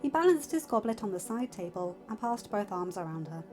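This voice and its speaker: A woman reading fiction aloud as an audiobook narrator, in an expressive storytelling style. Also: mid-range voice, brisk. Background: music.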